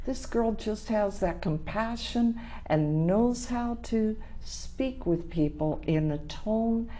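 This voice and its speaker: A woman's voice: medium pitch, slow, warm tone. A woman hosting a TV talk show, interviewing a guest in a studio in an effusive manner. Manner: effusive, laughs often. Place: TV studio set.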